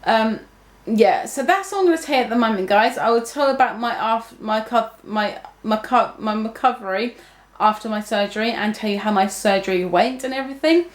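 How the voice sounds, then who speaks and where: A woman's voice: fairly high pitch, a little fast. A young woman talking casually to her viewers, rambling with many fillers and restarts. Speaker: vlogger. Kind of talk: casual talk to camera. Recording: echoey room.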